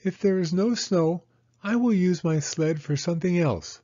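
A man's voice: sits high, moderate pace, mellow, dry recording, dull audio, pitched above the performer's own voice. A man voicing a small, sweet and eager young girl mouse in a storybook, with an earnest, childlike manner. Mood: disappointed. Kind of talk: storybook character voice.

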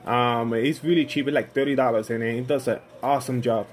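A man's voice: medium pitch, fairly even intonation, fairly quick. A friendly man with a Puerto Rican accent and Spanish as his first language, chatting casually as a ham radio operator and often repeating himself. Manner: friendly, repetitive. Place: indoors at a radio station. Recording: noisy phone recording beside radio.

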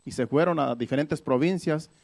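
A man's voice: a little high, normal speed, gravelly, a little mellow. A man preaching in a conversational Bible-teaching style, explaining things by repeating and rephrasing his points.

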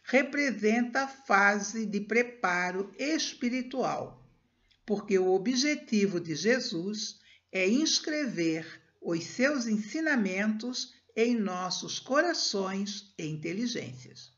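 An older woman from Brazil giving a spiritist talk, reading a passage with slow, measured phrasing and then leading a soft prayer, recorded over a laptop webcam at home. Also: mid-range voice, expressive. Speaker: spiritist lecturer. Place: home room, indoors. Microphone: laptop webcam on a video call. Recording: dull lo-fi video call audio.

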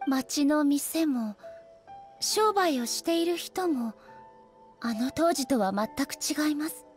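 A woman voicing a composed, serenely proud goddess ruler in an anime game, speaking in measured, formal and polite phrases. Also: high voice, expressive, faint music behind. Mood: calm, curious.

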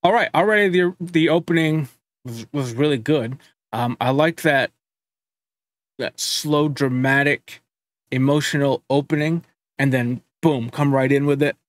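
A man in his thirties hosting a music reaction video from a home studio, talking in a relaxed, chatty way with frequent filler words. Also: a little high, unhurried, no room sound. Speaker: reaction channel host. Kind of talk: casual music reaction commentary.

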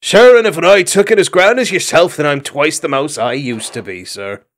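A man's voice: sits high, wildly swooping pitch, normal speed, voice pushed up. A cheeky young mouse thief, jaunty and teasing, as a man puts him on. His voice runs raised and bouncy in this storybook reading.

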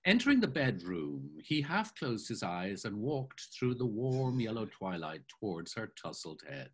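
Brief: older male narrator, lively pitch, slightly husky, expressive literary reading over webcam. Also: a little slow. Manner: savoring, expressive storytelling. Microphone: video call webcam.

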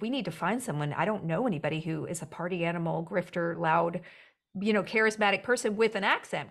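A woman in her forties with a youthful-sounding voice talks into a headset mic from a home room lined with bookshelves. She is chatty and animated and laughs between quick asides. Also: medium pitch. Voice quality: young-sounding voice. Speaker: actor and writer guest. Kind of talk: casual interview chat.

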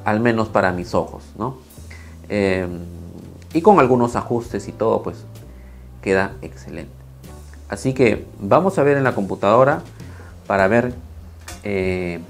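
A man in his thirties, slightly hoarse, talking casually on a lapel mic in a small home studio, with many fillers and restarts. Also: lowish voice. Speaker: video creator reviewing camera footage. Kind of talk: casual talk to camera, then screen walkthrough.

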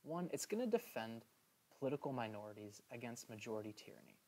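A man teaching a history lesson over slides in a casual, chatty way, filling pauses with "um" and checking in with "right?". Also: medium pitch, sing-song, darkish tone, low-quality recording. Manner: chatty, many "um"s and "right?" asides.

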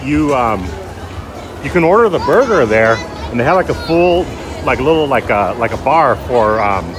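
A man's voice: mid-range voice, big pitch swings, moderate pace. A man casually narrating a walking vlog at an outdoor theme park, chatty and upbeat as he points things out. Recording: loud crowd and music outdoors. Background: park music and crowds.

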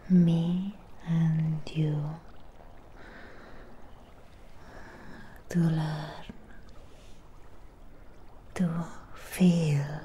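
Woman as a slow, whispering undead monster girl. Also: sits low, dark tone, slight background noise. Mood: tender, reassuring. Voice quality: breathy close-up whisper. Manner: tender, slow, deliberate, halting short phrases. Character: Frankenstein's creature girl, possessive, adoring, comforting her mate. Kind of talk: soft-spoken ASMR roleplay, whispered. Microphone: close ASMR microphone.

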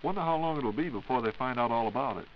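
A man's voice: mid-range voice, quick pace. An American man hosting a scripted 1940s radio interview, putting questions to his guest. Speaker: radio host asking questions. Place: radio studio. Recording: muffled 1940s radio recording with hiss.

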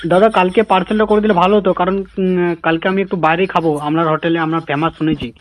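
A man's voice: high-pitched, fairly even intonation, fast talker. A man with a West Bengal Bengali accent making an insistent, mock-polite comic prank call, often repeating himself. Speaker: prank caller. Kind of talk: prank phone call, comic improvised chat. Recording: muffled phone call with faint noise.

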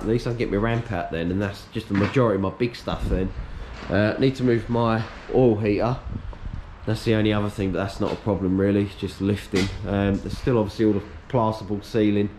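Casting note: thirtyish bloke, slightly low-voiced and hoarse, upbeat work-vlog chatter on a head cam, faint shop noise. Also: male voice, a little mellow. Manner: chatty, upbeat, matter-of-fact while working. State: hoarse, losing his voice. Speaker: workshop owner vlogging. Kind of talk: casual chat to camera. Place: large workshop being stripped out, indoors. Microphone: head-mounted action camera.

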